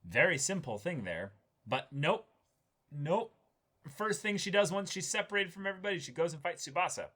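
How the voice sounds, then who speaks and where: Male co-host, bright and punchy voice, loose conversational delivery, irreverent joker. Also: sits high, big pitch swings, normal speed. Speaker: co-host of a reaction show. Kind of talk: casual chat, anime discussion.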